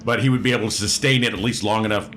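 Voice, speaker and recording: A man's voice: fairly low pitch, steady tone, rapid-fire, a bit bright, faint music behind. A man chatting casually as a player in a tabletop role-playing game.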